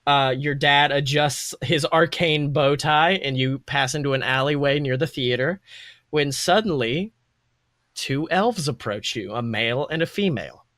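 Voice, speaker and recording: A man with a fairly high voice runs a tabletop roleplaying game. He improvises the story informally, meandering and slipping into slang. The sound is dry, with almost no room to it. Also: unhurried, a bit bright, dry recording. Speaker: game master.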